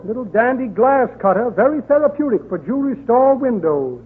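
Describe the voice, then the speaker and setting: An actor playing a pompous small-time crook in 1930s radio comedy, delivering mock-refined, drawling patter. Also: male voice, extremely high pitch, lively intonation, fairly quick, husky, full character voice. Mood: blustery, jokey. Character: pompous chiseling crook rummaging through his pockets. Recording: muffled, noisy 1930s radio broadcast.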